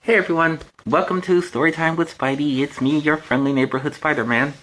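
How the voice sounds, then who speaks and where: A man's voice: fairly high pitch, fairly even intonation, fairly quick, a bit bright, a little hiss or hum, poor audio. A man in a superhero persona reading a children's storybook aloud, with chatty, playful asides between the passages.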